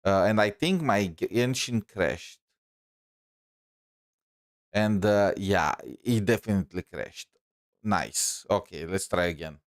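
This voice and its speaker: A man with a lowish voice streams games in a casual, rambling style. He hesitates often and sounds close and dry on the mic. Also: slow, long pauses, dry recording. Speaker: game streamer. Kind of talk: casual live gameplay commentary.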